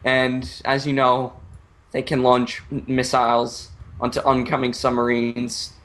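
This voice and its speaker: A man tells a made-up comic story at a slow pace. He stumbles and restarts his words and swears freely, in a mid-pitched voice. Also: completely flat delivery, fairly bright tone. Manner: halting, stammering, frequently swearing. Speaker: storyteller, host. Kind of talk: improvised joke storytelling while drawing on a computer.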